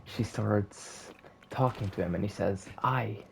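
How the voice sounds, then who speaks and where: Very low-voiced woman, unhurried storyteller. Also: creaky, warm tone, slight background noise. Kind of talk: improvised comic storytelling among friends.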